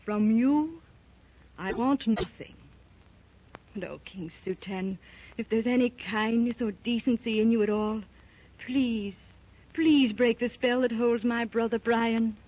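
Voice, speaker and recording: An actress playing an anguished, defiant young captive heroine, melodramatic in a 1930s radio serial style. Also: female voice, a little high, takes it slowly. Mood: cold defiance, then pleading. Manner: melodramatic, pleading. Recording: muffled old 1930s radio recording.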